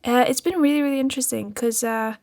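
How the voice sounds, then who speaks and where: A woman's voice: high-pitched, fairly even intonation, fast talker, darkish tone. A woman who grew up in Spain and now studies music production, answering interview questions over a remote call in a bubbly, giggly way. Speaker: music production student guest.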